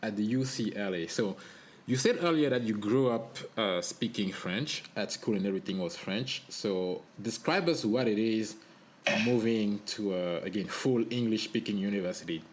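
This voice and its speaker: Guy hosting a podcast, lowish voice, easygoing, lots of uh pauses, bit of hiss behind. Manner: conversational, hesitant with filler pauses. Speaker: podcast host. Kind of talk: interview questions.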